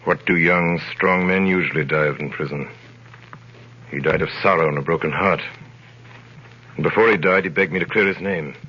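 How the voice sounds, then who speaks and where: An actor playing a grave, mysterious man near forty in a 1930s radio drama, speaking in measured, formal, probing tones. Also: low voice, fairly even intonation, slightly rough. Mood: solemn, probing. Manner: measured, solemn, formal questioning. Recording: dull old 1930s radio broadcast recording.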